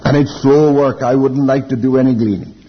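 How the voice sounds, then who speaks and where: Elderly preacher, muffled in a reverberant hall. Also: male voice, medium pitch, steady tone, breathy edge. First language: Welsh. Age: older, elderly. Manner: deliberate, reflective, with rhetorical questions and repeated phrases. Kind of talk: Bible teaching sermon.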